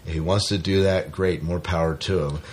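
A man's voice: low voice, steady tone, a little fast, darkish tone. A man co-hosting a podcast, talking casually about a TV series. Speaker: podcast co-host. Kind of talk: casual chat reviewing a TV series.

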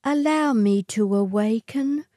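A woman's voice: fairly high pitch, a little slow, warm tone, no echo, studio quality, voice pushed up, lightly acted. A woman voicing a haughty, scheming young outback heiress in a theatrical manner for a short story. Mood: coaxing, insincere.

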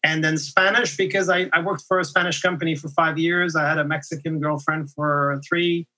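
A man's voice: a little high, flat delivery, moderate pace, sharp tone, rough recording. A man whose first language is French, a podcast guest talking reflectively, often restarting and repeating words. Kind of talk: conversational interview.

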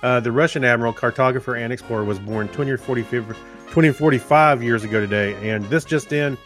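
A man co-hosting a podcast, chatting and reading segments in a halting, rambling, joking way. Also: medium pitch, fairly bright tone, soft background music. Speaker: podcast co-host. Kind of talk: casual chat and segment reading.

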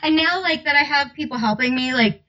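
Chatty woman with a youthful, somewhat high voice; dull home-booth recording. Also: a little fast, sharp tone, a little hiss or hum. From New Jersey. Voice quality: young-sounding voice. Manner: chatty, laughs often, many fillers. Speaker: audiobook narrator guest. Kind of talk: casual podcast interview.

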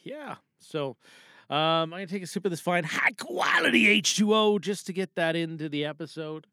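A man's voice: high-pitched, expressive, moderate pace, pushed and bright, dry recording, studio quality. A man podcasting solo in a casual, rambling, self-deprecating way. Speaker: podcast host.

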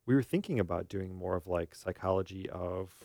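A man's voice: low-pitched, fairly quick, dark tone, dry recording, studio quality. A man co-hosting a podcast, chatting casually with a wry sense of humor. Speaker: podcast co-host. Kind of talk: casual chat between co-hosts.

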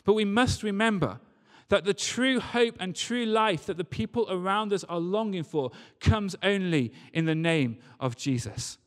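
A man's voice: high-pitched, animated, a little slow, few pauses. A man preaching a church sermon in an earnest, emphatic way, repeating key phrases. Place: large reverberant church or hall. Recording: long hall reverb.

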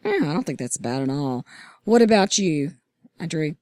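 A hoarse woman hosts a casual chat with a low, throaty voice, sounding enthusiastic despite the strain. The room is dry and close, with no echo. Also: big pitch swings, moderate pace, warm tone. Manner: upbeat, enthusiastic. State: hoarse, lost voice. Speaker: podcast host.

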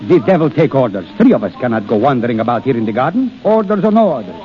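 An actor playing a boastful, exuberant Italian army surgeon in a 1930s radio drama, with flamboyant, playful phrasing and an Italian accent. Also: male voice, medium pitch, sing-song, quick pace, lightly acted. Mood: jovial. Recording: muffled, noisy 1930s radio broadcast.

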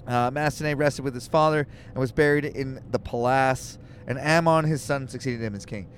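A man's voice: medium pitch, moderate pace, slight background noise. A man co-hosting a podcast in a moving car, reading scripture aloud and joking about it with irreverent, swearing asides. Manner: joking, swears freely, reads passages then riffs.

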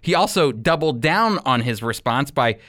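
A man teaching as a radio host in a scripted, sermon-like style, with emphatic, deliberate phrasing. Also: medium pitch, expressive, fairly bright tone, no room sound, studio quality.

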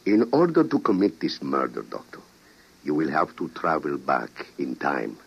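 An actor playing a grave, persuasive president of a ruined world in an old-time radio drama, speaking in a solemn, measured, rhetorical way. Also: male voice, very deep voice, wildly swooping pitch, vocal fry, breathy edge, exaggerated voice. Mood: solemn, insistent. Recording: muffled old radio recording.

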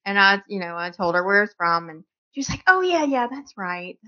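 A woman co-hosts in casual chat, telling an animated story and acting out each quoted voice. Her pitch swings widely, and the recording sounds dull and lo-fi. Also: brisk. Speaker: podcast co-host.

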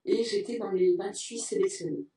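A woman's voice: medium pitch, moderate pace, warm tone, echoey room, far from the mic. A woman teacher talking casually in an interview, hesitant with many fillers. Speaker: French teacher, interview guest. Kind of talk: casual conversation.

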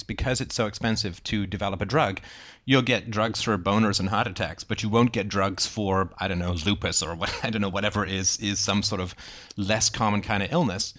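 A man with a low voice hosts a conversational group discussion. He explains his thoughts in a discursive way. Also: fairly bright tone. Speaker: host of book club discussion.

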